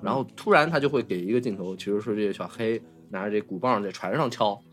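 A man's voice: lowish voice, fast talker, a bit bright. Colloquial man chatting over music. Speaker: podcast host. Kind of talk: casual film discussion.

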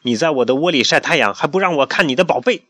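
A nosy farmyard neighbor coaxes and scolds in a loud, quick, slightly raised voice, as a father puts him on. The sound is close and dry. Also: fairly high pitch, lively intonation, no room sound, pitched above the performer's own voice. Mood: coaxing. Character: curious, pushy farmyard neighbor.

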